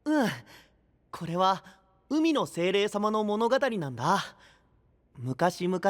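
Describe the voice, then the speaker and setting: A friendly, impulsive young knight with a high, boyish voice and very lively intonation. The casual, expressive audio drama delivery sits over soft music. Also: male voice, exaggerated voice. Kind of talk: Japanese anime-style voice drama. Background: background music.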